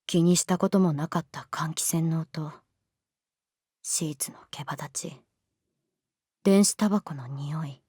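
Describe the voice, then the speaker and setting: A woman's voice: low-pitched, unhurried, long pauses, warm tone, husky, no echo, clean studio sound. A woman playing a sulky, lovesick teenage schoolgirl in a Japanese voice drama, speaking bluntly and hesitantly. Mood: brooding inner monologue. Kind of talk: Japanese voice drama acting with hushed inner monologue.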